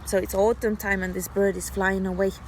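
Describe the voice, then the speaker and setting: A woman teacher reading a story aloud to young children in an animated, deliberate, child-directed way. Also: mid-range voice, slight background noise. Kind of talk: expressive children's read-aloud.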